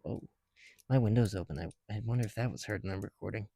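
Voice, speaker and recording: A tired man speaking softly and casually in an ASMR style, musing to himself as he works. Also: lowish voice, quick pace, vocal fry, slight background noise. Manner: hushed, musing to self while counting pieces. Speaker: ASMR video creator. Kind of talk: soft-spoken ASMR, casual chat while building.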